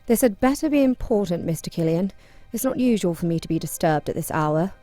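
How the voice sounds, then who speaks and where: A woman's voice: on the low side, lively intonation, a little fast, mellow, faint music behind, natural voice. An actress playing an imperious, wealthy woman who owns a mine, cold and commanding in an audio drama. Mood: haughty.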